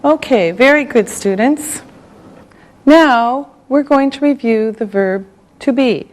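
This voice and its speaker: Woman, English teacher, slightly high voice, very slow and deliberate delivery, patient and encouraging. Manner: very slow and deliberate, one word at a time, with repetition. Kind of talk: scripted language lesson for beginners.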